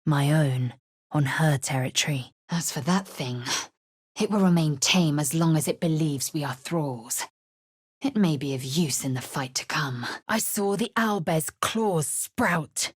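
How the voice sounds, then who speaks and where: A woman playing a fierce, haughty alien warrior in a video game, with a husky, raspy voice and clipped, imperious, formal delivery. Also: low-pitched, measured pace, no room sound, studio quality. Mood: cold, wary. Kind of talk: video game character acting.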